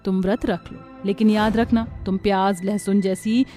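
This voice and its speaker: A woman voicing a pious, long-suffering young mother in a cartoon, gentle, earnest and pleading. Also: mid-range voice, steady tone, normal speed, soft background music, natural voice. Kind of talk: Hindi cartoon voice acting.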